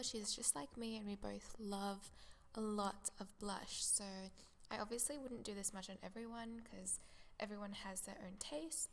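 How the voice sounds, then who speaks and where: Chatty young woman with a crackly cold voice. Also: mid-range voice. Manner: chatty, enthusiastic product recommendations. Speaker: makeup artist. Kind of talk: voice-over makeup tutorial.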